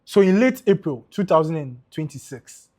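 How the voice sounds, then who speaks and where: A young Ghanaian man with a Ghanaian accent, co-hosting a casual football podcast, animated and dropping into pidgin. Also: on the high side, moderate pace. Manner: animated, mixes in pidgin, laughs often. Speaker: podcast co-host.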